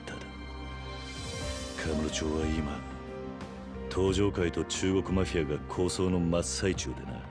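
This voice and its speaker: A grave, protective yakuza man for a video game cutscene. His voice sits extremely low. He speaks in short, measured, serious phrases over clearly audible music. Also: steady tone. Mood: resolute. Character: stoic, protective middle-aged yakuza man, gruff and grave. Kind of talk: dramatic Japanese video game acting.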